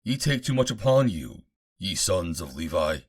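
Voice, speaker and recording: Male reader as stern scriptural prophet: low register, commanding, indignant, emphatic, sweeping intonation. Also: big pitch swings, normal speed, breathy edge, full character voice. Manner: declamatory, emphatic.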